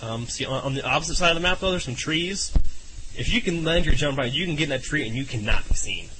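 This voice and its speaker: A man co-hosting a casual gaming podcast, talking in a relaxed, hesitant way with many fillers. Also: a little high, fast talker, background noise. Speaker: podcast co-host.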